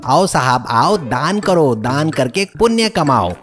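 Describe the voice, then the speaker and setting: A man's voice: a little high, sing-song, takes it slowly, faint music behind, heavily acted. A man voicing a brazen, scheming beggar in a cartoon story, pleading and complaining theatrically. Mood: wheedling. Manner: pleading, loud, indignant street patter.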